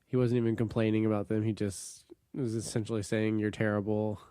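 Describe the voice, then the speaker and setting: A man's voice: lowish voice, fairly quick, clear, resonant tone, no room sound, studio quality. Young man, nasal with a cold. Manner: relaxed, with frequent ums. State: stuffy nose from a cold. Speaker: podcast co-host. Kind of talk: casual sports podcast chat.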